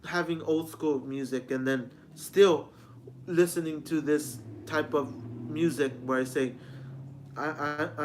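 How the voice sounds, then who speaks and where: A man's voice: on the high side, a little hiss or hum. A man in his twenties giving a rambling, repetitive personal vlog talk, often restarting his sentences as a music reviewer. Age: 20s.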